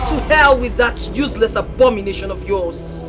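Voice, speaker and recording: A woman's voice: a little high, moderate pace, over loud music, natural voice. An actress playing the vengeful ghost of a dead woman in a film drama, with a Nigerian accent and a stern, commanding delivery. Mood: stern, demanding.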